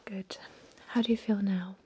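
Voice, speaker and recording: A woman whispering an ASMR roleplay as a gentle, reassuring vampire doctor, with hesitant, soothing bedside patter close to the microphone. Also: medium pitch, fairly even intonation, normal speed, noisy background. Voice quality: breathy whisper.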